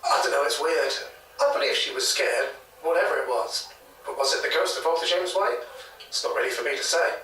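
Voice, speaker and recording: A man with a British accent playing a hesitant, gossipy local retelling a ghost story in a halting, chatty way for an audio drama. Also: on the high side, lively intonation, sharp tone, distant mic. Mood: gossipy, guarded. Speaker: interviewee, former hotel worker. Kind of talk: naturalistic audio drama interview. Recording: echoey taped interview, off mic.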